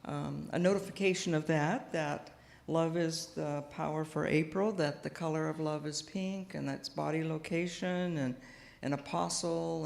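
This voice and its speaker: A soft-spoken woman minister giving a gentle, thoughtful sermon, pausing often with small fillers, speaking into a microphone in a church sanctuary. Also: deep voice, creaky. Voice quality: quiet voice. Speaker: guest minister. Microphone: house sound system microphone. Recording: long hall reverb.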